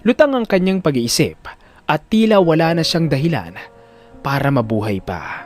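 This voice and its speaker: A man narrating a scripted drama story in a dramatic style. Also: on the high side.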